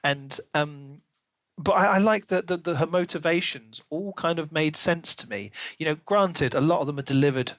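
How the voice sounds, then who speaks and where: A man with a slightly higher-pitched voice talks casually as a podcast host. He reviews in an animated, conversational way, slipping into asides. The sound is dry, with very little room to it. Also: moderate pace, dry recording. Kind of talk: casual book review discussion.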